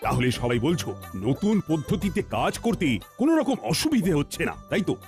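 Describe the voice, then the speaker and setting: A man's voice: fairly high pitch, sing-song, normal speed, music in the background. A man voicing a good-natured, gullible king in a cartoon, genial and easily amazed. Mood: pleased. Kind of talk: exaggerated cartoon voice acting.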